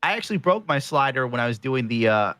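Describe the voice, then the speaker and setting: A man talks loosely on a hobby podcast, dropping curse words and wry jokes. His voice sits at an ordinary male pitch. The sound is dry, with no room echo. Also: animated, fast, fairly bright tone, clean tone. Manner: casual, profane, jokey. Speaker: guest painter and video maker. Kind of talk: casual podcast chat. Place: small room.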